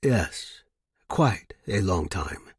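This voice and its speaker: A man's voice: sits low, big pitch swings, extremely slow, husky, dry recording, clean studio sound, pitched below the performer's own voice, heavily acted. A man voicing a discreet, deferential long-serving butler in an audiobook, formal and courteous. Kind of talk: audiobook character voice.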